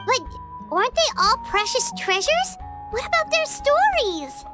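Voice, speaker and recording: A woman voicing a tiny, curious, earnest childlike fairy companion in a video game, with a squeaky cartoonish voice. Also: sing-song, a little slow, music in the background, heavily acted. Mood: concerned.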